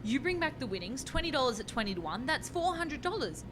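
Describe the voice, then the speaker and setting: An anxious, bossy female scientist acted by a woman in a radio farce, with a highish voice that swings widely. She cautions and exclaims in exasperation. Clear background noise and rumble sit behind her. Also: big pitch swings, quick pace. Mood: cautious, brisk. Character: anxious, bossy female scientist juggling time-travel experiments. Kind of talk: radio play farce acting.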